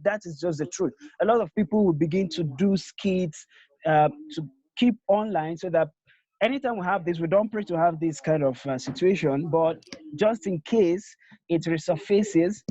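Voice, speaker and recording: A man with a notably high voice makes his points emphatically on a video call. The call audio is dull and lo-fi. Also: moderate pace, dark tone. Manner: emphatic, assertive. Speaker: guest panelist. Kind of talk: casual webinar discussion.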